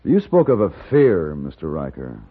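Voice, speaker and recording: Deep gravelly western gunfighter. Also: male voice, huge pitch swings, exaggerated voice. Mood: probing, concerned. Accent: American. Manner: measured, gentlemanly. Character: steady, courteous western gunfighter, narrating and talking. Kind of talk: 1950s radio western acting with narration. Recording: muffled narrow-band old radio, faint hiss.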